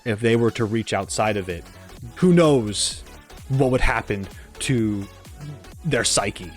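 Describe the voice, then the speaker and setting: A man hosting a gaming reaction video, giving casual, informal and expressive commentary. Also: fairly low pitch, takes it slowly. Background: music under the voice.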